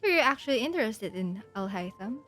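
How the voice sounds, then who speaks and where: A woman's voice: mid-range voice, expressive, fairly quick, a bit bright, soft background music. A young woman giving casual live reactions as a game streamer.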